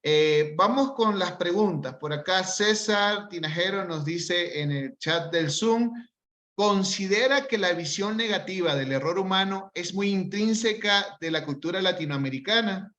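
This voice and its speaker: A man's voice: high-pitched, measured pace, a bit bright. A man moderating an online talk, politely reading out audience questions over a video call. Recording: dull lo-fi sound.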